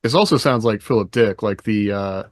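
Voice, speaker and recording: A man's voice: fairly low pitch, moderate pace, no echo, clean studio sound. A man co-hosting a podcast, talking informally and thinking aloud in a casual panel discussion. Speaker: podcast co-host.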